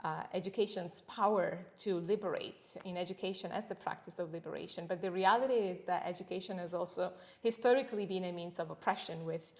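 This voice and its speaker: A middle-aged woman from Italy whose first language is Italian, speaking as a senior lecturer in an academic interview, measured and thoughtful, with frequent pauses. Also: fairly low pitch.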